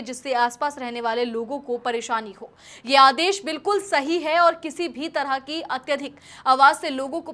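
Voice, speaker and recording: A woman's voice: high-pitched, a bit bright. A woman news presenter delivering scripted commentary in an emphatic, rhetorical style.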